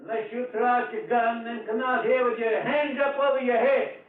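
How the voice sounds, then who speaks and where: An actor playing a deaf, menacing backwoods killer with an American drawl in an old radio drama. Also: male voice, very high-pitched, steady tone, moderate pace, phone-quality sound. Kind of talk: 1950s radio drama acting. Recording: old radio, distant, echoey, music behind.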